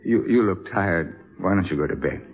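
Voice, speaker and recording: An actor with an American accent playing a troubled, halting young musician in a 1950s radio drama. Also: male voice, deep voice, expressive. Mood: weary, tender. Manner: halting, urgent, stammering. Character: troubled, weary young trumpet player husband. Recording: muffled, narrow vintage radio broadcast.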